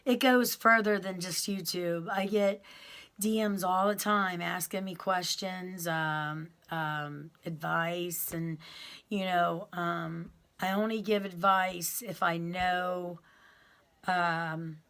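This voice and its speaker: A woman, talking slowly to her viewers. She rambles and restarts often, with plenty of ums. Her voice is loud and carries. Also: fairly low pitch, steady tone. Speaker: YouTuber telling her prison story. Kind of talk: casual storytelling to viewers.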